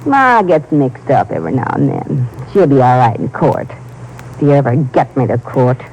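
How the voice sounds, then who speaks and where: A woman's voice: very deep voice, very animated, moderate pace, darkish tone, full character voice, poor audio. Tough female crook, slangy, sullen, resentful, vintage radio drama, faint noise. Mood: defiant. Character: brassy, resentful female crook, small-time knockout-drops accomplice. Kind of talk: 1950s radio drama acting.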